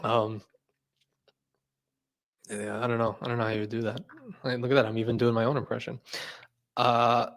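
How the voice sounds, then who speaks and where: A man's voice: mid-range voice, level tone, normal speed, pauses often, fairly bright tone. A young man hosting a casual livestream, reading chat comments and rambling with fillers, restarts and frequent laughs. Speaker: host of a credit card livestream.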